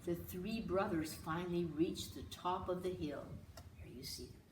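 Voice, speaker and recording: A woman's voice: on the low side, a little slow. A woman author reading a children's picture book aloud expressively, with small asides to the listeners. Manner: expressive, dramatic. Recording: roomy, distant mic with hiss.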